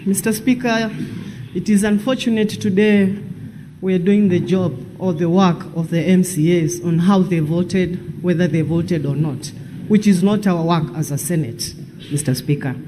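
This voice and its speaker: A man's voice: high-pitched, moderate pace. A man with a Kenyan accent making an emphatic, repetitive formal speech as a senator. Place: parliamentary chamber. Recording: long chamber reverb, faint noise.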